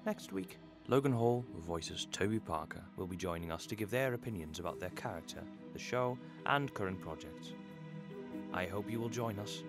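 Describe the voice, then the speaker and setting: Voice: low-pitched, expressive, moderate pace, music in the background. An interviewer reading scripted questions and announcements.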